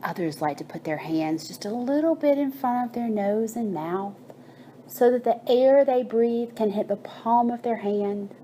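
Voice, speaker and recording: A woman narrating a bedtime story and guided meditation in a soothing, measured manner with drawn-out phrases. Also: fairly high pitch, dark tone, slight background noise.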